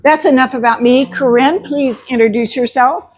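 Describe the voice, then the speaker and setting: A woman's voice: high voice, normal speed, slight background noise. A woman giving a chatty gardening talk to an audience, laughing often and adding emphatic asides. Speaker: master gardener presenter.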